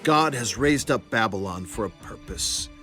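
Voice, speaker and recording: An actor playing a stern, impassioned prophet in a children's Bible audio drama, speaking in solemn, declamatory warnings. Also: male voice, fairly low pitch, animated, measured pace, pushed and bright, soft background music. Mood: urgent, solemn.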